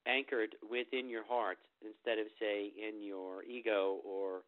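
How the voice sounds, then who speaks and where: A man's voice: on the low side, little pitch movement, a little slow. A man with a cold hosting a group phone call, talking loosely with many fillers and restarts before leading a meditation. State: getting over a cold. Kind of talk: informal spiritual talk before a meditation. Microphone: telephone. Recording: phone-line recording.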